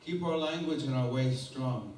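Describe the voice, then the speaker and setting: A man's voice: mid-range voice, moderate pace, darkish tone. Male storyteller addressing a hall audience, plain and friendly, with heavy room echo and a faint hiss. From Mescalero Apache. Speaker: performer and storyteller. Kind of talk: live talk to an audience. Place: lecture hall before an audience. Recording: echoey hall mic heard from far off.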